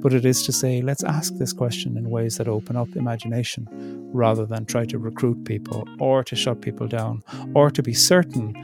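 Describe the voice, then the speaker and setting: A middle-aged man speaking reflectively as an interview guest, thoughtful and searching, pausing and restarting as he works through his ideas. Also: mid-range voice, flat delivery, gravelly, music in the background. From Ireland. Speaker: theologian in residence, interview guest.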